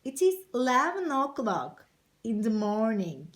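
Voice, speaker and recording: A woman telling a picture-book story to young children in an expressive, exclamatory way, taking her time. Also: a little high, a little slow, dry recording.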